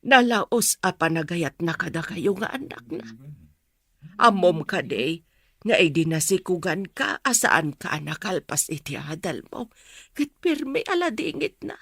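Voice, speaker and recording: A woman playing a sober, middle-aged aunt in a radio drama, speaking earnestly with a northern Philippine accent in a measured, consoling manner. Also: on the low side, sing-song, vocal fry, a bit bright, breathy edge, no echo, exaggerated voice. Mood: gentle, consoling. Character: sober, consoling older aunt, gently explaining.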